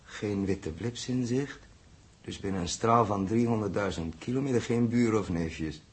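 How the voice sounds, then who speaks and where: An actor playing a calm, reflective male spaceship captain in a 1960s Dutch radio drama. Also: on the low side, close to an everyday voice. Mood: calm command. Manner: measured, musing, commanding.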